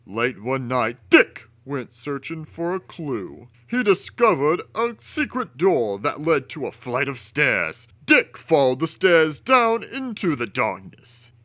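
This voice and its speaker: A man's voice: fairly high pitch, sing-song, slow pace, few pauses, sharp tone, dry recording, clean studio sound. A man giving a theatrical, over-emphatic dramatic reading of a children's storybook. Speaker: storybook reader.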